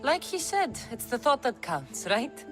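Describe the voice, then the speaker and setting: A woman's voice: high voice, sing-song, measured pace, over music. A woman playing a sardonic ancient Greek warrior in a video game, blunt and challenging. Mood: determined, teasing. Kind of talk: cinematic video game acting.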